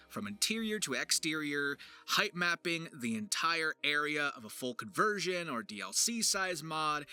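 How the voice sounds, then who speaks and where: Really bright punchy guy doing a vlog explainer, voice jumps around a lot, music behind. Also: on the high side. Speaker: video creator and voice actor.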